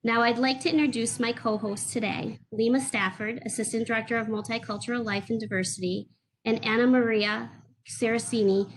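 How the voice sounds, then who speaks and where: A woman opens an event by reading a formal conduct statement at a measured pace. Her pitch is middling, with faint noise under her voice. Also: low-quality recording. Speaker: event host.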